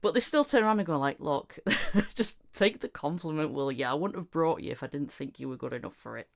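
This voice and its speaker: A woman hosting a casual podcast, her voice sitting on the low side. She talks in a lively way, laughs often, and slips into little acted-out quotes. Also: a little fast, vocal fry. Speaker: podcast host.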